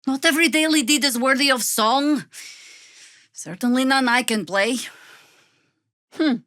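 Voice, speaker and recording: An actress playing a seasoned, sardonic older female druid warrior in a fantasy video game, wry, commanding and deliberate. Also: sits high, a bit bright, no echo, studio quality.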